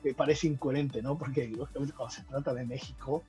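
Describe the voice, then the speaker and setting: Mexican guy w/ a warm soft voice, stops and restarts a lot, faint music behind. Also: mid-range voice, fairly even intonation, quick pace. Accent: Mexican Spanish. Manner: hesitant, many restarts and fillers. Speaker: guest, traveling football fan. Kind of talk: casual interview talk.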